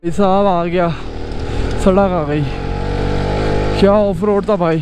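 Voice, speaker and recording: Excited Indian man riding a motorbike. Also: sits high, slow pace, over music. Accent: Hindi-speaking Indian. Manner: excited exclamations, chatty. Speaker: motovlogger. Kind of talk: casual running commentary while riding. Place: outdoors on a motorbike, rough mountain road.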